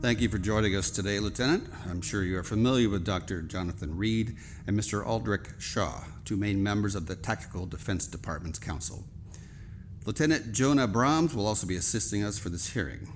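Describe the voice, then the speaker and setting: A man's voice: lowish voice, fairly quick, slight background noise, natural voice. A man playing a formal, controlled admiral chairing a military hearing in a scripted drama. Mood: formal, courteous. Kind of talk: scripted audio drama acting.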